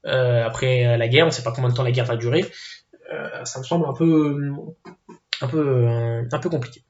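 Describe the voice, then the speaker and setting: A man's voice: medium pitch, moderate pace, a little mellow. A man giving his casual opinions, hesitant, with many fillers and restarts. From Portuguese family background. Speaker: football video commentator. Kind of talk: casual spoken opinion piece. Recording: echoey room, dull lo-fi sound.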